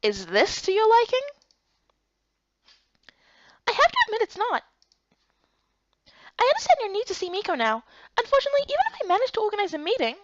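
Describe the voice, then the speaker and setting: A woman voicing an excitable, chatty, kind-hearted young character in a fantasy game, bubbly and full of questions. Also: extremely high pitch, brisk, long pauses, highs cut off, pitched above the performer's own voice, heavily acted. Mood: bright, eager.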